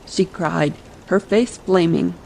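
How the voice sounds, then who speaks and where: A woman's voice: lowish voice, slow pace, vocal fry, darkish tone, slight background noise, poor audio. A woman narrating a story in a scripted audiobook style meant for bedtime listening. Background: ambience under the voice.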